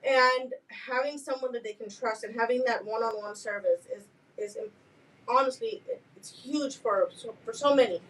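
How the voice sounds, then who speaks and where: A woman's voice: a little high, lively intonation, moderate pace, a bit bright, recorded from a distance, rough recording. A woman hosting a podcast who chats with enthusiasm. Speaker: podcast host. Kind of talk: casual chat.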